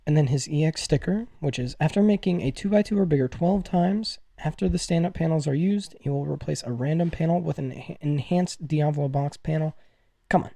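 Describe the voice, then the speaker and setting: Excitable young-sounding man, higher-pitched mellow voice. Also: brisk, gravelly, no echo. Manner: gushing, excitable, effusive. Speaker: gaming news video host. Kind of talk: casual gaming commentary to viewers.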